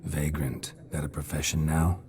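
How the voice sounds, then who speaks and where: An actor playing a gruff, weary, impatient fantasy game monster hunter, terse and deadpan, with a low gravelly voice. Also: male voice, moderate pace, crowd noise. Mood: gruff, guarded. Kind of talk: fantasy video game acting.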